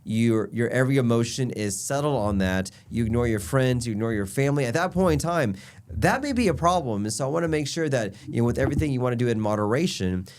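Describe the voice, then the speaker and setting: A man's voice: mid-range voice, a little mellow. A man with a stuffy, nasal voice from allergies, a doctor explaining health tips in an upbeat casual chat. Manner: upbeat, explanatory, lists points. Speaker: physician guest.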